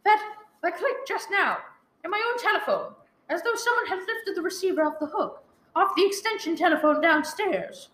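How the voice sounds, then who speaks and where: A woman's voice: very high-pitched, expressive, moderate pace, fairly bright tone. A woman acting a panicked invalid wife alone in her house in a radio play, stammering and pleading frantically. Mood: anxious. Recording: roomy, echoey, faintly noisy live recording.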